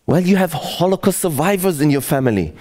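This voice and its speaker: Forties man from Israel, wry solo storyteller, lively pitch swings. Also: fairly quick. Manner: wry and self-mocking, with sighs and laughs. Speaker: comedian.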